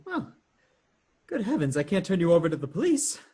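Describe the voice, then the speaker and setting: An actor playing a frantic, appalled nephew in a comedy radio play, flustered and stammering. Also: male voice, high voice, huge pitch swings, brisk, mellow, husky, full character voice. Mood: frantic alarm. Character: frantic, appalled nephew of two elderly aunts. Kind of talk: stage comedy radio theatre acting.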